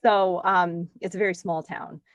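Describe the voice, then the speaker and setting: A woman's voice: fairly low pitch, moderate pace, no echo. Chatty woman in her forties, dry sound. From Connecticut, US. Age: 40s. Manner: chatty, animated, laughs often, lots of "like". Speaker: podcast guest, runner. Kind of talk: casual interview chat.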